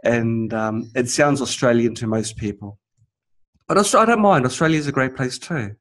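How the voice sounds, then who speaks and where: A man's voice: mid-range voice, lively intonation, moderate pace, a bit bright. A man with a New Zealand accent hosting an interview, enthusiastic, laughing easily and restarting his sentences. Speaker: interview host. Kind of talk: interview chat.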